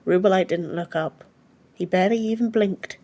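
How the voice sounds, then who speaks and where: A woman's voice: medium pitch, moderate pace, warm tone. A woman reading scripted fantasy fiction as an audiobook narrator.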